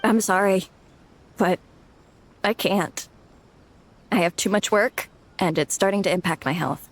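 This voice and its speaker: A woman's voice: medium pitch, a little slow. An actress playing a polite, hesitant, overworked young female office worker in a video game. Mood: hesitant, nervous.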